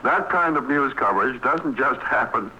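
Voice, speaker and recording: A man's voice: medium pitch, expressive, moderate pace, sharp tone, a little hiss or hum. A man reading a scripted network promo in a polished, formal announcing manner. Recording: dull lo-fi old radio broadcast.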